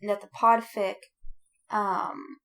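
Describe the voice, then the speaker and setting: A woman's voice: mid-range voice, flat delivery, very slow, a bit bright. A woman in casual podcast chat as a co-host, informal and slangy, with an enthusiastic way of talking.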